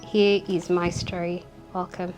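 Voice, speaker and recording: A young woman telling her life story in an interview, reflective and unhurried, often repeating words for emphasis. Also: on the low side, little pitch movement, over loud music. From Kenya. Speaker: interview guest.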